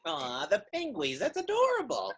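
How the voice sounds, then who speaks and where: A woman voicing a naive, chatty, excitable bird with hesitant interjections in a radio comedy. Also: on the high side, normal speed, a crowd in the background. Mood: amused.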